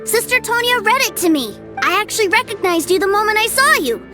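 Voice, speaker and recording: A woman voicing an innocent, excitable little boy, an adoring younger brother in a video game, with a childlike, earnest delivery. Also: very high voice, a little fast, music in the background. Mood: curious, matter-of-fact.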